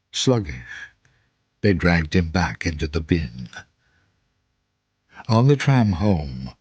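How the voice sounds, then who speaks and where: A man narrating a scripted radio drama in a grave, deliberate manner. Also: low voice, a little mellow, breathy edge, no room sound, exaggerated voice.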